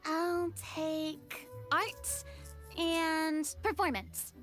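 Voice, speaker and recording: A woman's voice: very high-pitched, steady tone, extremely slow, fairly bright tone, faint music behind, heavily acted. A woman playing a polite, modest young lady in an anime-style video game, thoughtful and a little hesitant. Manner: courteous, hesitant, considered.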